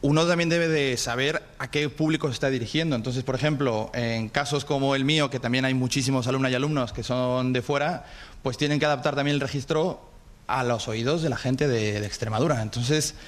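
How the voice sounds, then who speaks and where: Mexican-accented man, measured lecturer. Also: mid-range voice, fairly even intonation. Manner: thoughtful, measured, with restarts. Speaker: university lecturer. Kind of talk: panel discussion.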